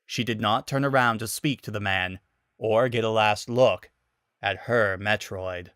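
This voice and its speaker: A man's voice: on the low side, unhurried, a bit bright, smooth, dry recording, clean studio sound. A man giving a dramatic scripted narration of a story.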